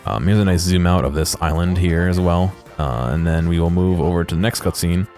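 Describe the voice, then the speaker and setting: Low-voiced man, halting commentary. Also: fairly even intonation, a little mellow, faint music behind. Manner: hesitant, halting. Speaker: video creator. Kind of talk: unscripted commentary on game footage.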